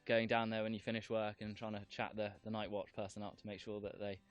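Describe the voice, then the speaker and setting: A young man, a trainee at a horse stud, answering interview questions in a relaxed, hesitant way. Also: on the low side, fast, muffled recording. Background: background music.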